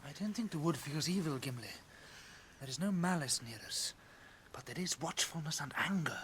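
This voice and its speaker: An actor playing a watchful, sensitive elf archer in a radio drama, speaking with hushed, breathy wonder. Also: male voice, a little high, animated, normal speed, a little hiss or hum. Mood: hushed, tense.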